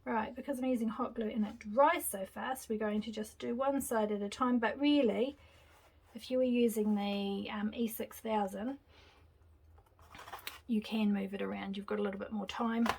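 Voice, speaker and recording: A woman's voice: fairly high pitch, moderate pace, vocal fry, slight background noise. Chatty woman demonstrating a craft. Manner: chatty, relaxed, thinking aloud while working. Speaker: craft tutorial host. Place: craft table indoors, hands seen from overhead camera.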